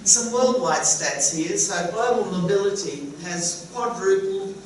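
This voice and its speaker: A woman's voice: medium pitch, measured pace, distant mic. A middle-aged woman from Sicily, with Sicilian as her first language, giving a chatty, wry conference talk as an educator. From Sicily, Italy. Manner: chatty, wry, laughs at her own jokes. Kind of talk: conference presentation. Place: conference room. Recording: very echoey hall, voice from afar, faint hum.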